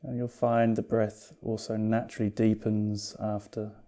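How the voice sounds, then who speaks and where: A man leading a guided yoga meditation as a teacher, calm and unhurried, speaking in a soothing, gently reassuring way. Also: lowish voice.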